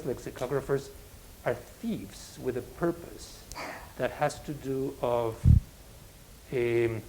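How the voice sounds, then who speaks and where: A man professor speaking in a public academic conversation, reflective, pausing with restarts and fillers. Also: mid-range voice, darkish tone, a little hiss or hum, low-quality recording. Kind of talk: academic panel talk.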